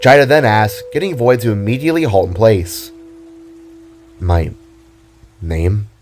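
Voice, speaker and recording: A man's voice: mid-range voice, animated, a little fast, faint music behind. A man narrating a scripted audio drama in a dramatic storytelling style.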